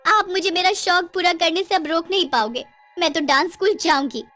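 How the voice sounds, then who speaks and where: A woman's voice: extremely high pitch, normal speed, sharp tone, faint music behind, pitched above the performer's own voice. A woman voicing a headstrong, girlish young dancer in a story cartoon, speaking emphatically. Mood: defiant. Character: headstrong young woman who loves dancing.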